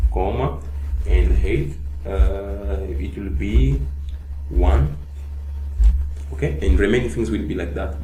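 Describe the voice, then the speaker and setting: A man with a low, soft voice, whose first language is Portuguese, teaching a coding lesson one step at a time. He breaks up his steps with "uh" and asks "okay?" again and again. The room sounds echoey, with a faint hiss underneath. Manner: narrates each step, frequent "okay?" check-ins and "uh" fillers. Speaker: coding tutor. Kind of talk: screen-recorded coding tutorial.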